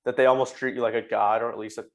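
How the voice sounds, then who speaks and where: A young man hosting a laid-back podcast interview. He talks quickly at a mid pitch and often restarts his sentences with a filler "uh." He keeps the conversation moving with a friendly manner. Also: flat delivery. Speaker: podcast host.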